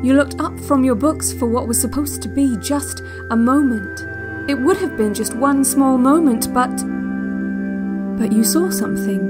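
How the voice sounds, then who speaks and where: An actress playing a calm, eerily watchful guide on a relaxation cassette, speaking in measured, soothing, deliberate instructions. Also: female voice, high voice. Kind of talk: slow hypnotic relaxation-tape narration in a fiction podcast.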